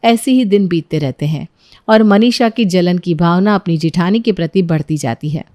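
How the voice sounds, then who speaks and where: A woman's voice: fairly low pitch, normal speed, mellow, no room sound, studio quality. A woman reading scripted narration for a moral story, as the storyteller.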